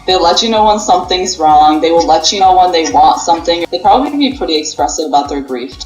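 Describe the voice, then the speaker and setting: Low-voiced young woman, echoey room. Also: expressive, quick pace, darkish tone. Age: 20s. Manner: rambling, many fillers. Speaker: pharmacy student. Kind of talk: casual interview answers. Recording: roomy echo, faint music.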